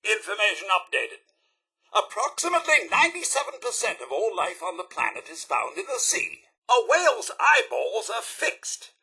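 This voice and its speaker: High, animated British robot butler. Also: male voice, normal speed, full character voice. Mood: informative, theatrical. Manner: prim, formal, theatrical, crisply enunciated. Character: fussy, loyal, polite male robot butler. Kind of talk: video game character voice lines.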